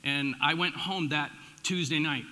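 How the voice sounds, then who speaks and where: Middle aged american guy pastor, really bright forceful voice, chatty storytelling sermon, big echoey hall. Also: on the high side, measured pace. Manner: informal, animated storytelling with emphatic swings and dropped asides. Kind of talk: conversational sermon with personal storytelling. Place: large church room, addressing a congregation. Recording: echoey hall with long reverb.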